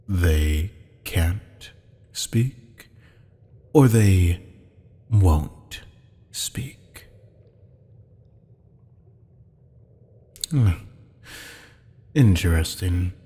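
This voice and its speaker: Man voicing a very deep, husky, haughty demon. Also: mellow, exaggerated voice. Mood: silky, probing. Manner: sardonic, drawling, teasing. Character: haughty, amused, teasing male demon buyer at auction. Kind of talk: scripted audio roleplay acting. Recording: long hall-like reverb.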